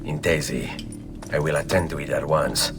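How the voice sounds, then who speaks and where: An actor playing a resolute, courteous young Renaissance assassin with an Italian accent in a video game. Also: male voice, rumbling low, fairly even intonation, over music. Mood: businesslike, determined. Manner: measured, courteous. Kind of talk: video game cutscene acting.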